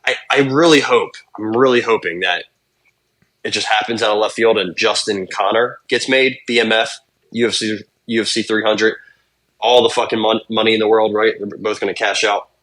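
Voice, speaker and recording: A young man with a somewhat low voice co-hosts a casual sports podcast. He rambles in a relaxed way, thinking out loud, and swears casually as he talks. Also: normal speed. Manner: laid-back, rambling, profane. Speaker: podcast co-host.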